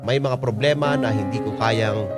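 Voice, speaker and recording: A man's voice: mid-range voice, normal speed, natural voice. An actor playing a hurt young man who confides, in radio drama narration, that he feels left out. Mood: resigned. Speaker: narrator and main character. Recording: loud music under the voice. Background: loud music.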